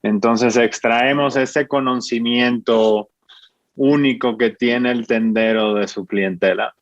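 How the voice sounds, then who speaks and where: A man in his forties with a Dominican accent, explaining his business as an interview guest in a measured way, often ending with a questioning tag. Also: medium pitch, fairly even intonation, no echo. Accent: Dominican Spanish. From Dominican Republic. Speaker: fintech founder, guest. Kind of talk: interview answers. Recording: muffled dry sound.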